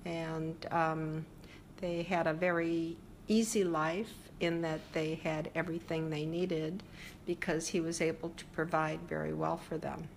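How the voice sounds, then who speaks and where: An older woman talks about her family's past in a slow, unhurried interview. Her voice sits fairly low and has a gravelly fry. She pauses often and fills the gaps with small hesitations. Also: level tone, a little hiss or hum. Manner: reflective, hesitant with frequent fillers. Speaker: interviewee sharing family history. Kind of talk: oral history interview.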